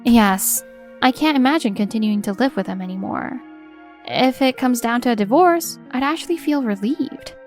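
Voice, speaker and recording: A woman's voice: a little high, lively intonation, moderate pace, darkish tone, soft background music, lightly acted. A woman playing a middle-aged housewife who narrates and talks politely and earnestly in a manga dub. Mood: resolute, relieved. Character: long-silenced middle-aged housewife, polite, quietly resolute. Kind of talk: acted first-person narration and dialogue in manga dub.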